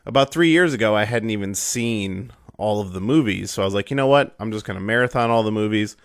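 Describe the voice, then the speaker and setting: A young man hosting a podcast, hoarse and raspy from a cold, rambling through his intro with fillers and restarts. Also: fairly low pitch, fast talker, no room sound, clean studio sound. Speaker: podcast host.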